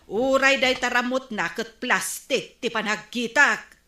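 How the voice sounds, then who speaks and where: A woman's voice: a little high, measured pace, sharp tone, echoey room. A woman playing an indignant village neighbour in an Ilocano comedy radio drama, with an Ilocano Filipino accent.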